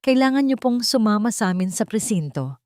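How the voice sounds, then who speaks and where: A woman's voice: fairly high pitch, lively intonation, a little fast, a little mellow, no room sound, studio quality, voice pushed up, close to an everyday voice. A woman voicing a firm police officer in an audio drama, formal and insistent in questioning.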